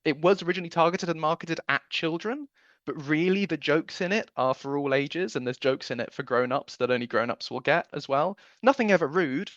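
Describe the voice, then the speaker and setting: A man's voice: on the high side, a little fast, fairly bright tone, no room sound, lo-fi sound. A man giving chatty, enthusiastic interview answers as a producer, recounting memories in a conversational way.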